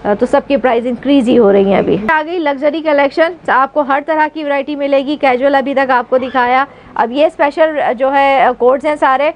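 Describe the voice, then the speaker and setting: A woman's voice: high voice, normal speed, poor audio. A woman with a Karachi Urdu accent chatting casually and upbeat as she promotes a clothing shop, repeating answers back. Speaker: vlogger interviewing a shop owner. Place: busy clothing shop indoors.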